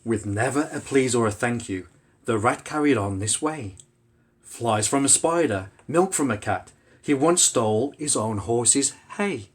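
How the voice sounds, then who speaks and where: Animated, husky man reading a bedtime story. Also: medium pitch, measured pace. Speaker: father reading a bedtime story to his child. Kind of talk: expressive rhyming storybook narration.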